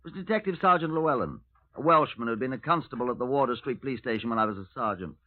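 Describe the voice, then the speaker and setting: An actor playing a brisk, wry British Scotland Yard superintendent in a 1950s radio crime drama, authoritative and dry. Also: male voice, medium pitch, animated, natural voice. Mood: composed, friendly. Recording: tinny, noisy old radio recording.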